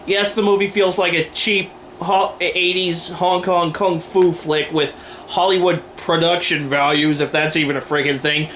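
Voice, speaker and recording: A man with a notably high voice hosts an opinion video. He delivers scripted commentary with sarcasm and firm emphasis. There is faint room noise behind him. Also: level tone, unhurried, fairly bright tone. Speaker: video host. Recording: noisy room recording.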